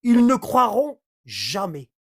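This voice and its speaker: Streamer doing a casual live talk on scripture, lively, keeps asking "right?", middle pitch. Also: slow pace. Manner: animated, acts out little dialogues, keeps checking in with "hein?" and "d'accord?". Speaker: livestreamer explaining Quran verses. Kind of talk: casual livestream commentary.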